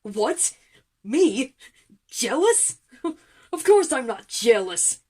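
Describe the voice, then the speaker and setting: A woman's voice: fairly high pitch, big pitch swings, very slow, a little mellow, husky, full character voice. A woman voicing a boastful, jealous male superhero commander with a showy, emphatic manner in an audio drama for children. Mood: defensive.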